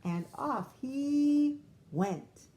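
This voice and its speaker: A woman's voice: sits high, lively intonation, drawn-out words, mellow. A woman first-grade teacher reading a picture book aloud to young children, expressively drawing out lines and adding chatty asides.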